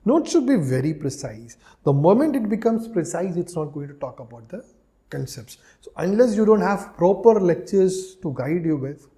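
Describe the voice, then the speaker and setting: A man's voice: high-pitched, big pitch swings, moderate pace, dark tone. A man with an Indian accent giving an explanatory lecture as an exam coach, emphatically repeating key phrases and checking in often.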